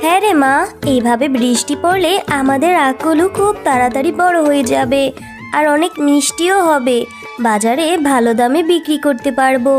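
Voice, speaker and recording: A woman voicing a gentle, hard-working fox mother in a children's cartoon, affectionate and motherly. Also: high voice, normal speed, soft background music, natural voice. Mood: motherly, thoughtful.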